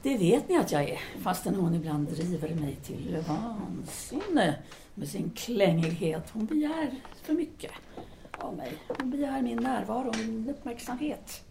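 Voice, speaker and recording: A woman's voice: medium pitch, lively intonation, creaky, warm tone, slight background noise. A woman playing a self-assured, restless 1930s Parisian intellectual in an audio drama, speaking formally and decisively. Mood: candid, restless.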